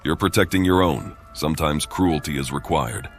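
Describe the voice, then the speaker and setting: A man's voice: extremely low pitch, normal speed. An actor playing a firm, composed male paladin in a fantasy game, speaking formally and directly. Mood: measured. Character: firm, authoritative male paladin judging fairly. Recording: music behind the voice.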